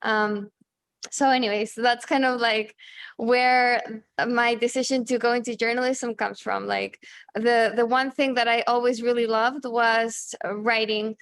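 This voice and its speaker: A woman in her thirties from Chile, a native Spanish speaker, talking casually as an interview guest, halting with many fillers and restarts. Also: on the high side, fairly even intonation, fairly bright tone, clean tone, no echo. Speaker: guest, journalist and author.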